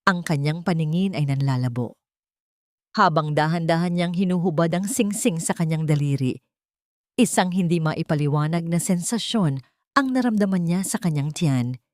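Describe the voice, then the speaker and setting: A woman narrating a scripted romantic drama in a solemn, emotive radio-drama style with deliberate phrasing. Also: low-pitched, a little mellow, no echo, clean studio sound. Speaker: story narrator.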